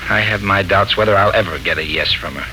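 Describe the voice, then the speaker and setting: Earnest, bright-voiced lovestruck radio suitor. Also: male voice, deep voice, fast talker. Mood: anxious, hopeful. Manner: florid, hesitant, earnest. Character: hearty, anxious, lovestruck male suitor. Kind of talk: 1940s radio serial acting. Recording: noisy, bright 1940s radio recording.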